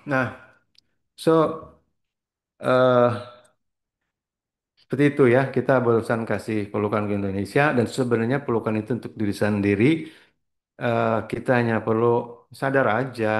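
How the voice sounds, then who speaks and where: Rambling low-voiced man. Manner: rambling, mixes English words, frequent throat clearing. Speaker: spiritual trainer. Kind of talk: casual motivational talk.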